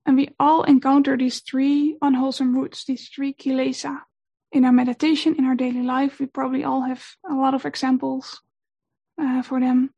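A woman whose first language is Dutch gives a gentle, unhurried talk on meditation, pausing often with fillers. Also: high voice, little pitch movement, gravelly. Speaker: meditation teacher.

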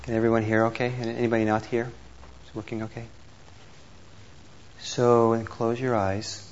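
A man teaching meditation, gently and unhurriedly guiding a group with pauses between instructions. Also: mid-range voice, flat delivery. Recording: dull lo-fi audio with clear background noise.

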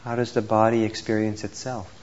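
A man teaching meditation, gently and unhurriedly guiding a group with pauses between instructions. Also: fairly low pitch, flat delivery. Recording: dull lo-fi audio with clear background noise.

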